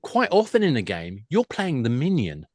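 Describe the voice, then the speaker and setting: A man's voice: mid-range voice, wildly swooping pitch, normal speed, darkish tone, no room sound, studio quality. A man speaking as an expert guest in a panel discussion, explaining things emphatically and often breaking off to rephrase himself. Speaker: guest, games industry expert.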